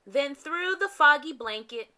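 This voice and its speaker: A woman narrating a children's storybook in an expressive read-aloud style with deliberate phrasing. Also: high voice.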